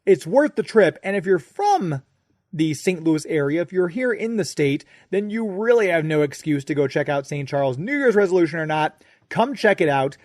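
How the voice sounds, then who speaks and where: A man's voice: a little high, very animated, normal speed, darkish tone, dry recording, studio quality. An American man hosting a radio show in a chatty, informal, conversational way. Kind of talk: conversational ad read and interview.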